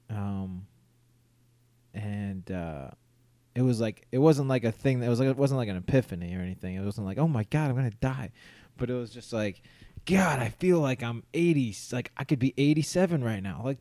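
A man's voice: medium pitch, mellow, no echo. A young man co-hosting a casual podcast, telling rambling stories full of fillers and restarts, laughing often and acting out his own thoughts. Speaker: podcast co-host. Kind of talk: casual chat.

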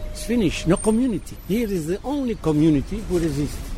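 A man with a Maltese-Turkish accent, interviewed on the street, speaking in short halting phrases. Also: high-pitched, expressive, warm tone, faint music behind. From Malta. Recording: outdoor street noise, distant mic.

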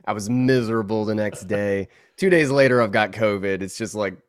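Congested man with a low voice, rambling. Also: normal speed, clear, resonant tone, no echo. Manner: rambling, laughing storyteller with many fillers. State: congested, recovering from illness. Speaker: podcast guest. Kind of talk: casual interview chat.

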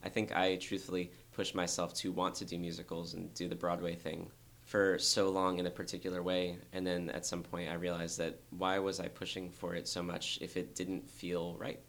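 A young man, a dancer, talking earnestly and thoughtfully in an interview, with a very low voice and frequent restarts. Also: completely flat delivery, moderate pace, few pauses, a bit bright. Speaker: dancer guest. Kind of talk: reflective interview talk.

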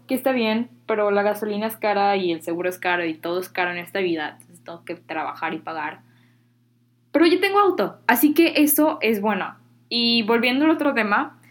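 A teenage girl from Mexico with a Mexican accent, talking casually and candidly to her viewers, dropping slang and poking fun at herself. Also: mid-range voice. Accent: Mexican Spanish. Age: teens. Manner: chatty, candid, self-deprecating, uses Mexican slang. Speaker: vlogger. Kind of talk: casual talk to camera.

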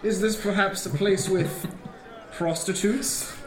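An actor playing a naive, eager, bumbling adventurer in a comic radio play, halting and mock-formal in an echoey room. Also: male voice, high-pitched, steady tone. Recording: echoey room with noisy background.

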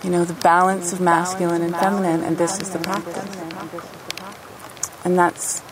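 A woman giving an informal spiritual talk in an earnest, reflective manner, with halting phrasing. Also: fairly low pitch, level tone, crowd noise, poor audio. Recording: outdoor field recording with music.

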